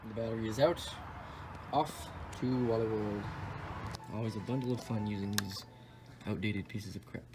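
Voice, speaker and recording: A young man talking casually while he works over a cheap car, thinking out loud and tossing in sarcastic jokes. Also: lowish voice, normal speed, pauses often, music in the background. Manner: wisecracking, sarcastic asides; offhand, thinks aloud while working on a car. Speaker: car vlogger. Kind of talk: casual vlog commentary.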